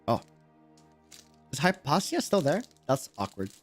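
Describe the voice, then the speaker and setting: A young man from Latin America chats on a game livestream in a somewhat high voice. He is casual and slangy, thinking aloud over faint game music. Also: huge pitch swings, a little slow. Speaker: game streamer. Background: video game music.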